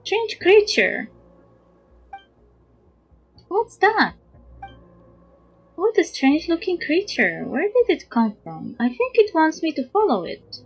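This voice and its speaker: A woman's voice: very high voice, huge pitch swings, takes it slowly, long pauses. A woman livestreamer reading game dialogue aloud, with slightly dull audio. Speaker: streamer. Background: background game music.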